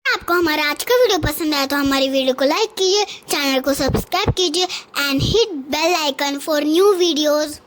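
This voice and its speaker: A woman announces a scripted channel outro. Her voice is extremely high, almost childlike, and the delivery is slow.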